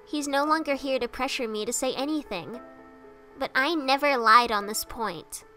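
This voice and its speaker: A woman's voice: high-pitched, moderate pace, bright, forceful tone, faint music behind. A woman voicing a small, earnest, boyish skeleton hero in a comic dub, youthful and hesitant, anxious but kind. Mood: solemn, determined.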